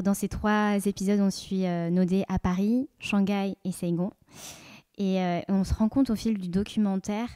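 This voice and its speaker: Mellow-voiced female podcast host. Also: medium pitch, no room sound. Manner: friendly, measured, with frequent fillers. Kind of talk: podcast interviewing.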